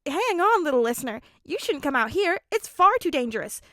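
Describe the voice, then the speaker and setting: A woman's voice: high voice, very animated, a little fast, a bit bright, no echo, studio quality, voice pushed up. A woman voicing an anxious, fussy father who protests with worry in a storybook reading. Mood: alarmed, protesting. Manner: worried, protesting.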